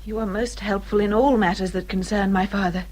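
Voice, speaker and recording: An actress playing a sensible, dutiful young English gentlewoman in a radio drama, earnest and polite. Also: mid-range voice, fairly even intonation, fairly quick, close to an everyday voice.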